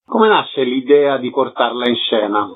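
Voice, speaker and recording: A man's voice: medium pitch, expressive, a little slow. A man working as a radio interviewer, putting short questions and announcements in an arts programme. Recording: slightly dull lo-fi radio sound.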